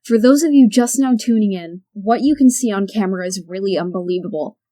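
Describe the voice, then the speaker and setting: A woman playing a TV news anchor, reading the news briskly. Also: medium pitch, dark tone, natural voice. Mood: urgent.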